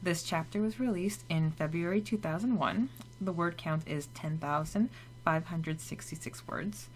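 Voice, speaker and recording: A woman's voice: lowish voice, normal speed, poor audio. A woman co-hosting a podcast, moving between casual chat and a scripted story recap. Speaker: podcast co-host.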